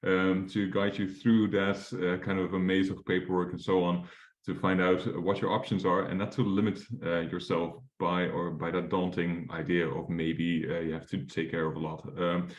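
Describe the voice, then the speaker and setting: Young dutch guy explaining mortgages, really low voice, lots of ums, echoey room sound. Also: level tone, normal speed. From Netherlands. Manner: casual, frequent fillers and restarts. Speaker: mortgage advisor. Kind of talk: webinar presentation.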